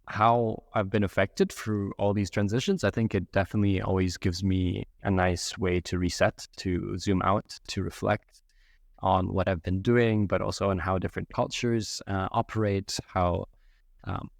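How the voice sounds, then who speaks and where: A young adult man from Yemen, a podcast guest giving measured, reflective answers with frequent fillers. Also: sits low, gravelly, dry recording, studio quality. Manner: measured, thoughtful, many fillers.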